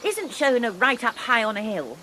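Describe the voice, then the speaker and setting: An actress in her thirties playing a prim, proper missionary woman in a radio drama, with a sharp tongue. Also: fairly high pitch, sing-song, moderate pace, a little hiss or hum, poor audio. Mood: determined. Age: 30s.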